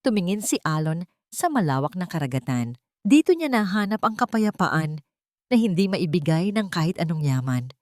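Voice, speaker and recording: A woman's voice: on the low side, sing-song, moderate pace, dry recording, studio quality. A woman giving an earnest, scripted dramatic narration as a sentimental storyteller. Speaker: storyteller and channel host.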